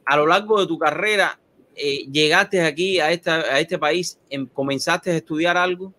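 A man hosting a conversational interview, his voice sitting a little high for a man. He gets animated and speeds up when he drives a point home, then slows down again. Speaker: host.

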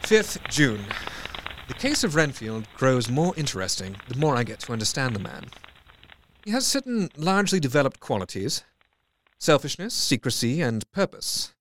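Brooding doctor, very lively intonation. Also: male voice, mid-range voice, normal speed, a little hiss or hum, rough recording. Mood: absorbed, analytical. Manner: formal, clinical, reflective. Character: brooding, studious male asylum doctor recording case notes. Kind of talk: dramatic diary narration.

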